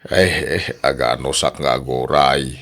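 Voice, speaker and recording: A man's voice: extremely low pitch, steady tone, a little slow, a bit bright, full character voice. A man playing a lovesick old suitor in a radio drama, stammering and persistently pleading, with an Ilocano accent.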